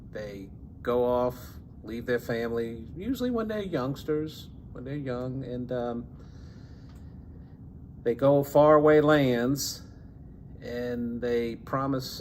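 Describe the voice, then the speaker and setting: A man from New Orleans, an Army veteran speaking haltingly with many fillers. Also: mid-range voice. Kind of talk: recorded personal interview. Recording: noisy background hiss.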